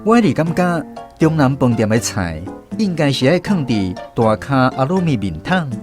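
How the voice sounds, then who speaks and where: An actor as a reflective, lively Taiwanese storyteller, music underneath. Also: male voice, medium pitch, moderate pace, close to an everyday voice. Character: reflective male film director recalling his past. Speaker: narrator of a radio drama. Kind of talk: scripted first-person radio drama narration. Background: instrumental music.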